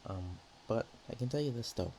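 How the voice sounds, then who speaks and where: A man playing a naive, nervous, affectionate dragon in a roleplay ASMR, talking in a hesitant, rambling, halting way. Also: mid-range voice, lively intonation, darkish tone, slight background noise, lightly acted, rough recording. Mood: shy, nervous.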